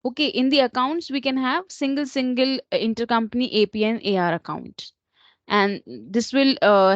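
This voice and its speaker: A woman presenting an instructional slide lesson as a software trainer, explaining in a slightly halting way. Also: high-pitched, no room sound. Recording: dull lo-fi close recording.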